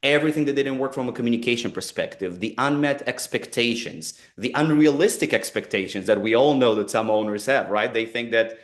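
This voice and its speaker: A man's voice: mid-range voice, brisk, hardly any pauses. A man in his forties with an Israeli accent, talking earnestly in a webinar and often repeating and restarting phrases. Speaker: company co-founder presenting a webinar. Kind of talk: conversational webinar talk.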